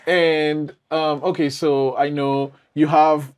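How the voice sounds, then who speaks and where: A man's voice: on the high side, flat delivery, slow pace, smooth. A man in his thirties hosting a casual interview, asking informal questions and giving short reactions. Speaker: podcast host, interviewer. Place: small indoor podcast studio.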